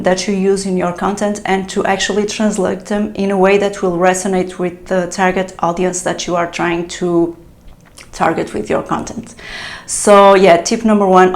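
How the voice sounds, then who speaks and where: A woman whose native language is European Portuguese, a translator explaining tips in a casual vlog, with frequent fillers and restarts. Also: medium pitch. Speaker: translator giving business advice. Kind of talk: solo talking-to-camera vlog.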